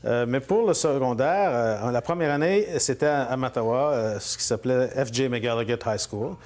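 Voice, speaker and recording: Franco-Ontarian man, halting chat. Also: medium pitch, darkish tone. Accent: Franco-Ontarian French. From Northern Ontario, Canada. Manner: hesitant, many fillers and restarts. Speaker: interview guest, politician. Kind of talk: relaxed interview conversation. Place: living room at home.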